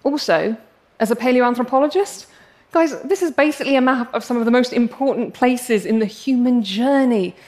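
A woman's voice: fairly high pitch, normal speed, a little mellow. A young English woman with an English accent giving a prepared public talk, passionate and wry with self-deprecating humor. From England.

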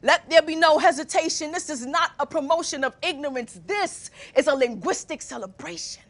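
A young woman performing spoken word poetry with emphatic, rhythmic delivery and deliberate, articulate diction. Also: high-pitched, a bit bright. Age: young adult.

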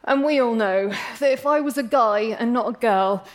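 A young adult woman with an English accent giving a witty, animated live talk on stage. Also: fairly high pitch, moderate pace. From England. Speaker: speaker. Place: stage before an audience.

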